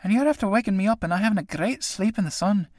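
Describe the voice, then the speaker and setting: A woman's voice: mid-range voice, quick pace, darkish tone, no room sound, studio quality, pitched above the performer's own voice, natural voice. A woman reading a play aloud and voicing a sly, drink-loving old tinker woman with a mocking, wheedling manner. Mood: sleepy, mocking.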